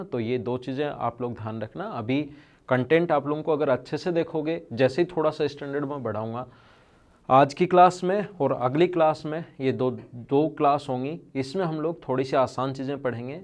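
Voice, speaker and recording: A man's voice: medium pitch, a little mellow. Man teaching maths, strained throat. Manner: earnest, repetitive, addresses students directly. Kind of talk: online video lesson.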